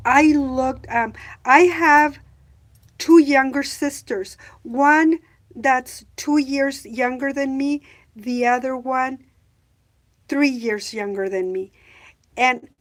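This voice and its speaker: Casting: middle-aged woman from Mexico, relaxed unhurried vlogger, halting delivery with lots of ums. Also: sits high. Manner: hesitant, many fillers, switches between English and Spanish. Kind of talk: casual bilingual vlog talk.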